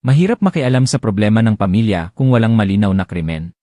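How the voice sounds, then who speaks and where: A man's voice: lowish voice, animated, fast talker, warm tone, dry recording, studio quality, close to an everyday voice. A man voicing a cautious, formal, matter-of-fact police chief in an audiobook.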